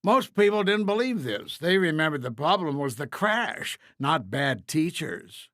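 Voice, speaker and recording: A man's voice: a little high, a bit bright, dry recording, clean studio sound. A man narrating a scripted fairy tale in a simple, emphatic storybook style.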